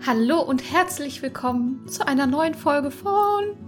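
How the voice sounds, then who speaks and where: A woman's voice: high-pitched, lively intonation, unhurried, faint music behind. A woman hosting a book podcast in casual chat, playful and joking. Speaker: podcast host.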